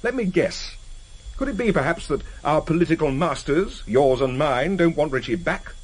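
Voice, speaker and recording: A man's voice: on the high side, expressive, moderate pace, slightly rough. A man acting in a radio drama as a cynical, guarded secret agent with a dry, sardonic, terse manner, on a vintage recording. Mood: bitter sarcasm.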